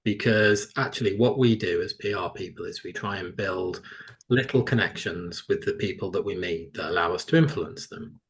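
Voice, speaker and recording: A man with a notably deep voice, speaking on a panel over a video call. He makes his case persuasively and keeps inviting agreement with questions. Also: normal speed. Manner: persuasive, leans on tag questions. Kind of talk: panel discussion.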